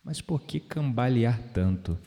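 An actor with a Brazilian accent playing a calm, playful, spiritually minded man in an audio drama. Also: mid-range voice, unhurried, slight background noise. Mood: amused, curious. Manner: gently playful, reflective, philosophical.

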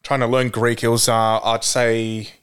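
A young man with an Australian accent, telling stories in relaxed podcast chat, full of fillers like "you know". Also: mid-range voice, a little slow, clear, resonant tone. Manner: relaxed storytelling with many fillers. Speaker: podcast guest.

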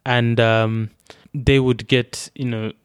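A man's voice: medium pitch, flat delivery, slow, smooth, dry recording, clean studio sound. A young man from Zimbabwe with a Zimbabwean accent, thoughtfully recounting his own experiences and often restarting his phrases. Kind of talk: reflective personal account.

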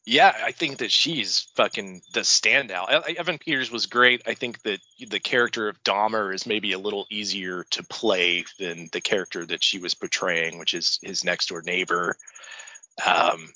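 A man's voice: deep voice, normal speed, pushed and bright, no echo, dull audio. A man co-hosting a casual podcast, congested from the flu, laid-back and joking with plenty of swearing. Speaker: podcast co-host.

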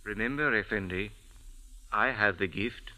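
An actor playing a mysterious, proud sand diviner who speaks in formal, stilted English, in 1940s radio acting style. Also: male voice, fairly low pitch, measured pace, bright, forceful tone, poor audio. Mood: solemn.

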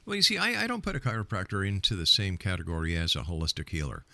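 A man's voice: deep voice, expressive, fast, pushed and bright, dry recording. A man hosting a radio interview, asking skeptical, probing questions and often repeating his opening words. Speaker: radio show host, interviewer.

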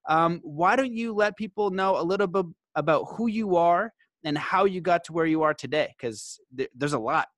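Male podcast host and coach, slightly high pitch, dry studio-like sound, informal enthusiastic delivery. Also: moderate pace, fairly bright tone, no echo. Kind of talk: podcast host talk, promos and guest introduction.

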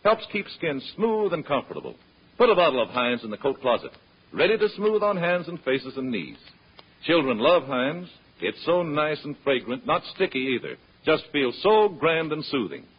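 A man's voice: on the high side, sing-song, normal speed, slightly rough. An American man announcing scripted advertising in the style of vintage radio. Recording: dull vintage radio recording.

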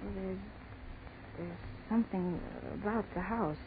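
An actress playing an anxious young college student in a 1940s radio horror drama, speaking haltingly and uneasily. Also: female voice, medium pitch, natural voice. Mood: frightened. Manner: hesitant, halting, breathless unease. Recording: noisy, tinny 1940s radio broadcast.

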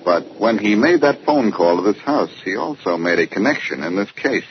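A muffled, hissy old radio drama recording. A man plays a self-assured, wry detective with a low voice that swings freely in pitch. He questions briskly in 1940s radio style. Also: animated, natural voice. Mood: probing, firm. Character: confident, wry amateur detective, adult man.

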